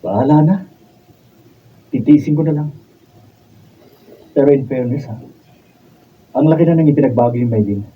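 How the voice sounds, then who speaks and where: A man's voice: medium pitch, normal speed, pauses often, slightly rough, lightly acted. Dull distant recording, guy playing a fed-up filipino husband gone cold, radio drama. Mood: weary. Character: weary, fed-up husband gone cold toward his wife. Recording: dull, distant, slightly noisy raw video.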